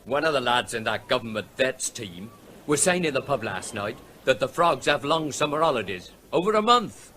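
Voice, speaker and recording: An actor playing a suspicious working-class seaside trader in a radio drama, blunt, slangy and needling. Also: male voice, mid-range voice, very animated, moderate pace, pushed and bright, slight background noise.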